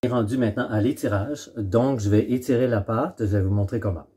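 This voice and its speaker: A middle-aged man giving a step-by-step cooking demonstration in a home kitchen, explaining in short, halting instructional phrases. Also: fairly low pitch, dark tone, husky. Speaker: home baker presenting a recipe.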